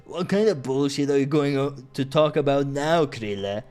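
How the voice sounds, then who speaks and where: A man voicing a boisterous, combative male warrior from a visual novel, mocking his opponent with loud taunts. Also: medium pitch, normal speed, faint music behind, close to an everyday voice. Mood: amused, scornful.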